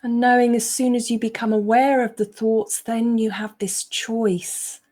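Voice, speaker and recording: A woman leading a guided meditation as a coach, speaking gently with frequent pauses as she gives instructions. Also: fairly high pitch, little pitch movement.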